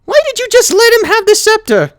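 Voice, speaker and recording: Woman voicing a frazzled young unicorn mare, very high and strained, exasperated, dry close sound. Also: big pitch swings, brisk, dry recording. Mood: flustered. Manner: exasperated, shrill outbursts. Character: frazzled young female unicorn pony scholar. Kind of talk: fan story read aloud with plushies.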